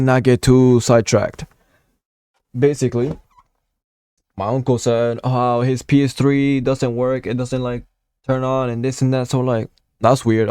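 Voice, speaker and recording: A man's voice: mid-range voice, dark tone, no room sound, studio quality. A nineteen-year-old man from Puerto Rico, rambling through a casual gaming vlog story with lots of swearing and fillers. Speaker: gaming content creator.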